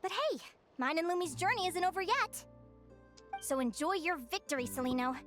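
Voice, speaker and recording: High-pitched, cheerful young adventurer girl. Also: animated, normal speed, over loud music. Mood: playful confidence. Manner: earnest, bubbly, upbeat. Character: cheerful, determined young woman adventurer with a creature partner. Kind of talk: English video game voice acting.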